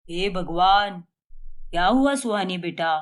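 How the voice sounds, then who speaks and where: A woman voicing a doting middle-aged mother in an animated storybook, speaking tenderly and fussing over her daughter. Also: on the low side, slow, voice pushed down.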